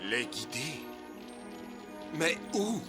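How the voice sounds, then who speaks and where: A man voicing a humble, earnest shepherd and prophet in an animated film, with music behind. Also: fairly high pitch, very slow, heavily acted. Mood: awed, doubtful. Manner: earnest, awed. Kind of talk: animated film dub in French. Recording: loud musical score behind.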